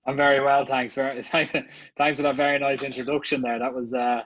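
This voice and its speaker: A man's voice: mid-range voice, flat delivery, a little fast, a bit bright, no echo, muffled recording. A man with an Irish accent, a marketing executive speaking casually as a guest at an online summit, talking with many fillers and restarts. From Ireland. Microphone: video call.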